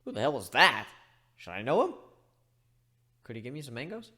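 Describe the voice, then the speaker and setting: A reckless, merry griffin acted out by a man, flippant and sarcastic in every exchange. The voice jumps about in pitch with plenty of animation, as in a dramatic fantasy reading. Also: big pitch swings, moderate pace, pushed and bright, slightly rough, full character voice. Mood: sarcastic, awkward. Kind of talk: dramatic reading of fan fiction.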